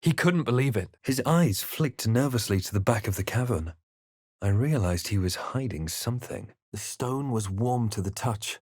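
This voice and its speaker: Brooding, low-voiced fantasy adventurer. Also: male voice, lively intonation, normal speed, a little mellow, no room sound, clean studio sound. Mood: ominous, grave. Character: brooding male fantasy adventurer narrating his own quest. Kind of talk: dramatic video game voice lines.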